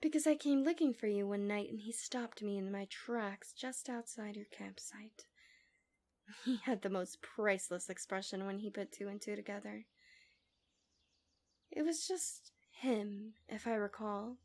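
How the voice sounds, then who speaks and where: A woman playing a smug, possessive female villain in an ASMR fantasy roleplay, speaking softly and coaxingly with insinuating formal wording, often dropping to a whisper. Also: medium pitch, measured pace, close to an everyday voice. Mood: smug, confiding.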